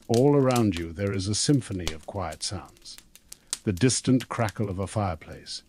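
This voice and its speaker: A man narrating a scripted guided sleep meditation in a slow, soothing, gentle manner. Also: on the low side, slight background noise.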